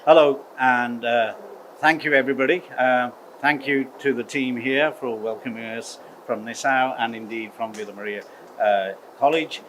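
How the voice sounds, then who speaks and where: A middle-aged man from the UK, a university head of engineering, giving a measured formal address to students. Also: medium pitch, a bit bright, slight background noise, rough recording. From United Kingdom. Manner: measured, uses "okay?" as a check-in.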